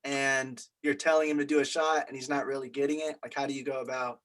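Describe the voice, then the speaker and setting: A man in a casual student discussion, explaining things in a halting way and repeating words. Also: medium pitch, level tone, fairly bright tone. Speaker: film student in a group meeting.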